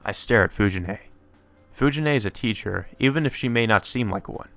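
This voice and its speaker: Bright, forceful low-voiced man reading aloud. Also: moderate pace. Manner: informal, slips into character voices. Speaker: let's play host. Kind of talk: reading visual novel dialogue aloud with asides. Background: video game music.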